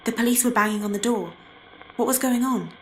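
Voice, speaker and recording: An actress plays a young woman investigating in a horror game, asking direct questions in a fairly high voice. There is clear noise behind her. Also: fairly quick, close to an everyday voice, low-quality recording. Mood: inquisitive. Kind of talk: horror game dramatic acting.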